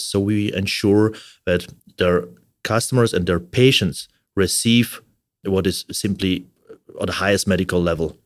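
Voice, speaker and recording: German-accented man, lowish dry voice. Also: dry recording. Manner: halting, many fillers and restarts. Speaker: orthotics specialist guest. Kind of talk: casual interview.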